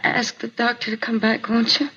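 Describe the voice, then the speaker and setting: A woman's voice: fairly high pitch, completely flat delivery, close to an everyday voice. Actress as dazed, hurting woman, muffled old radio. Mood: weak, halting. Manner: halting, repetitive, confused short answers. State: in pain, weak. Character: dazed, hurting woman mugging victim, pleading. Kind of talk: 1950s radio drama acting. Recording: muffled, noisy 1950s radio recording.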